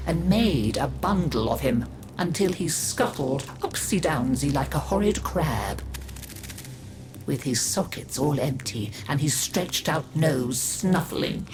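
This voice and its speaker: A woman narrating a creepy fairy tale for a game, dramatic and often sinking into hushed whispers. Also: deep voice, background noise, exaggerated voice. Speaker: storyteller.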